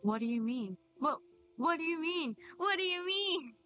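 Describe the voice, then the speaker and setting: A woman's voice: very high-pitched, animated, moderate pace, bright, forceful tone. Playful woman streaming, game music behind. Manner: playful, repeats catchphrases. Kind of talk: casual livestream gameplay commentary.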